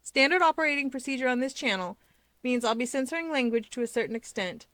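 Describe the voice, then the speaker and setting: Female narrator, bright and crisp-edged, dry close recording, expressive story reading. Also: sits high, fast, no room sound. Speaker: channel host and story narrator. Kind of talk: expressive scripted narration of fan fiction.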